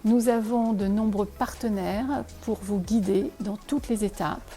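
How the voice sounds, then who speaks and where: A woman whose native language is Portuguese gives a measured, articulate scripted presentation as a real estate advisor. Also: mid-range voice, mellow. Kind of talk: scripted promotional presentation to camera. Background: faint music.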